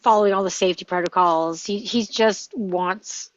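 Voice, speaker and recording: A woman's voice: medium pitch, normal speed, muffled recording, a crowd in the background. A woman talking casually in a discussion, with mid-range conversational delivery. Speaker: table read participant.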